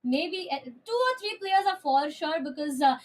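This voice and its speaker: Woman, esports caster, high voice, chatty, many fillers, headset mic. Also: expressive, normal speed. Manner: chatty, lots of filler sounds. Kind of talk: live esports commentary.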